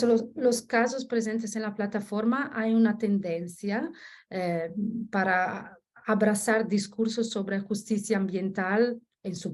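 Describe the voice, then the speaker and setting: A woman researcher delivers an academic talk in an Italian accent, her native tongue being Italian. Her voice sits at a medium pitch. She moves haltingly, searching for words and correcting herself as she goes. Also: darkish tone. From Italy. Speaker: researcher giving a lecture. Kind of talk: academic presentation in Spanish as a second language.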